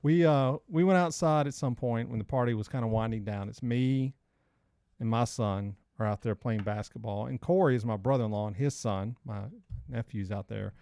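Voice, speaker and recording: Guy in his 40s chatting on a podcast, rambly and informal, medium pitch, no room echo. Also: moderate pace, vocal fry, dark tone, clean tone. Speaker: podcast co-host. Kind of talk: casual banter and storytelling.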